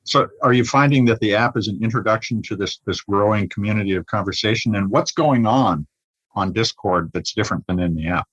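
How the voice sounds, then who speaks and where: A man hosting a conversational podcast interview, phrasing his questions in a halting, thoughtful way. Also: lowish voice, fairly bright tone, slightly rough, dry recording, muffled recording.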